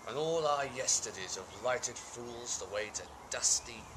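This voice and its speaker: A man's voice: mid-range voice, animated, measured pace, natural voice. A man playing a brooding, war-weary tyrant king in an intense, theatrical Shakespearean tragic monologue. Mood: bleak despair. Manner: theatrical, intense, shifting between hushed and outbursts. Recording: amateur home video with background noise. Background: birds and ambient noise.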